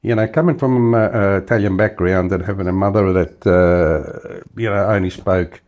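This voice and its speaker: An Australian man in his seventies with a fairly low voice tells his life stories unhurriedly. He wanders through his sentences, full of "you know" and "uh", restarting as he goes. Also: normal speed, a little mellow, muffled recording. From Western Australia. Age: 70s. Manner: rambling, many fillers and restarts. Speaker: interview guest. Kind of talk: casual storytelling interview.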